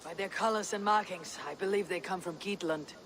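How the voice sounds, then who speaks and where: A calm, commanding viking leader. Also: female voice, lowish voice. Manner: measured, authoritative. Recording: game cutscene over soft music.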